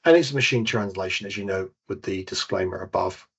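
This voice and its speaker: A man with a British accent leading a software demonstration webinar as a database trainer. He explains each step while he clicks through the screens and corrects himself now and then. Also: low-pitched, brisk, slightly rough. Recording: dull lo-fi webinar audio.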